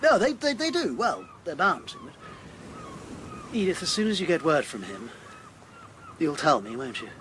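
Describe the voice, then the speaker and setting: An actor playing an earnest, lovestruck, middle-aged English gentleman in a period radio drama, well-spoken and hesitant. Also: high-pitched, wildly swooping pitch, unhurried, long pauses, slight background noise, full character voice. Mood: anxious. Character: earnest, lovestruck older gentleman of wartime England, exasperated.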